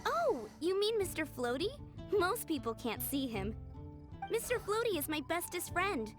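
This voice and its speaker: A woman putting on the voice of a sweet little girl, speaking soft and cutesy. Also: very high-pitched, very animated, a little slow, over music, pitched above the performer's own voice, exaggerated voice. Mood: dreamy.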